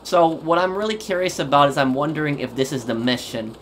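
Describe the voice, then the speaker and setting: Halting man commentating on a game. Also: on the high side, fairly bright tone, slight background noise. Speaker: gaming video host. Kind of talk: casual playthrough commentary.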